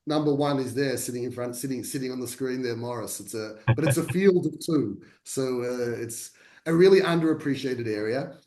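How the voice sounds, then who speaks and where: A man's voice: mid-range voice, moderate pace, warm tone, echoey room. A man, a translator speaking as a guest over a video call, self-deprecating with many restarts. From Australia. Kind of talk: conversational talk.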